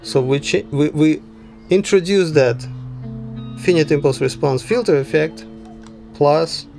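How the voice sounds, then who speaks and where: A man gives a software tutorial in a gravelly, creaky voice at a slow pace. He speaks haltingly, thinking as he goes and often adding little check-in words. Some music sounds behind him. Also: on the high side. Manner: halting, frequent "yeah" check-ins. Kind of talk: unscripted software tutorial narration.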